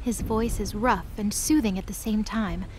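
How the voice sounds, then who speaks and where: A woman's voice: medium pitch, moderate pace, a little mellow, slight background noise, low-quality recording. A woman reading scripted story narration as the narrator of a mythology audio drama. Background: music.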